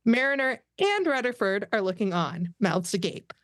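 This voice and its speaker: A woman's voice: medium pitch, very animated, moderate pace, no echo. A woman narrates a script's stage directions for a table read. Her voice is mid-range and the recording is dry, with very little room sound.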